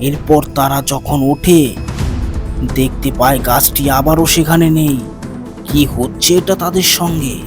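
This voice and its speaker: A man's voice: a little high, steady tone, unhurried, music in the background. A man narrating a scripted cartoon moral story as a storyteller.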